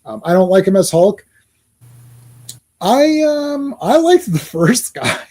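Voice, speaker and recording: A man co-hosting a casual podcast, talking in an animated, rambling way with many restarts. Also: high-pitched, slow pace. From Croatian family, born locally. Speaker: podcast co-host. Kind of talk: casual chat.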